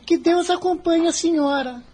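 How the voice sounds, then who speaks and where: A woman's voice: extremely high pitch, moderate pace, dark tone. A woman playing an earnest, worried schoolboy from a poor family in an audio drama. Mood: worried, gentle.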